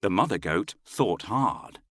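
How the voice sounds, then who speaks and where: A man's voice: fairly low pitch, animated, slow pace, a bit bright, dry recording, clean studio sound. A man narrating a scripted children's bedtime story as the storyteller.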